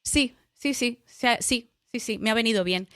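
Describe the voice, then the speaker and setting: A woman's voice: on the high side, moderate pace, a bit bright, no room sound, studio quality. A middle-aged woman from Spain with a Spanish accent, chatting as a podcast guest, talking in a loose way full of restarts and fillers. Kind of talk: casual interview chat.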